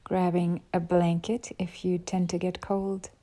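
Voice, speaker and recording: A woman guiding a yoga nidra meditation as a teacher, with soft, breathy phrase endings and unhurried pauses. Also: fairly low pitch, level tone. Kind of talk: guided meditation.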